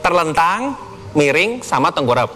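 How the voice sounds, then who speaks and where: A man from Semarang with a Central Javanese accent, a guest doctor calmly explaining health tips on a studio talk show. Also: fairly high pitch, measured pace, long reverb tail, a little hiss or hum. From Semarang, Indonesia. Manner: calm, explanatory, agreeable. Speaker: guest doctor, physical medicine specialist.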